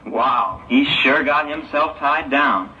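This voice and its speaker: A man's voice: mid-range voice, slow pace, pushed and bright. A man playing a worried, inquisitive young man in a 1960s religious audio drama. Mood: surprised, concerned. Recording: distant, dull, hissy 1960s tape.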